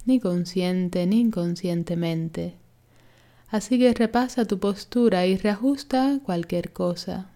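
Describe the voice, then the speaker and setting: Slow, mellow woman guiding relaxation. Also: lowish voice. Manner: calm, soothing, gently instructing. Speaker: yoga nidra instructor. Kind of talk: guided relaxation meditation.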